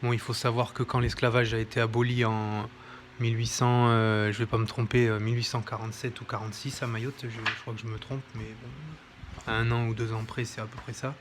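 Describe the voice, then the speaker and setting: Hesitant man with a flat voice. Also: medium pitch, fairly quick, noisy background. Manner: hesitant, many fillers and self-corrections. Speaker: presenter of a history book. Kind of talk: informal slideshow commentary. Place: home near an airport.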